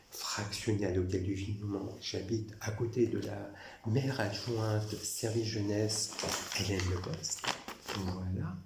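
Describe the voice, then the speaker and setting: A low-voiced man from France talks in a long, rambling monologue, circling back over the same points with insistent repetition. His voice has a rough, gravelly fry, and the room around him rings with echo. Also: background noise. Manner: repetitive, insistent, restarts phrases. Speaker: former prison guard recounting grievances.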